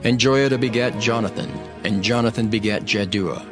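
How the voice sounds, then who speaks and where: A man narrating an audio Bible in a measured, solemn, phrase-by-phrase delivery, as a scripted dramatised reading. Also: fairly low pitch. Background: music under the voice.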